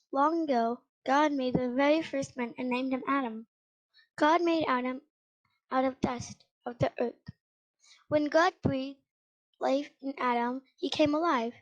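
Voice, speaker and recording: A female reader with a high voice reading a children's Bible story aloud, halting now and then over words. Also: muffled recording.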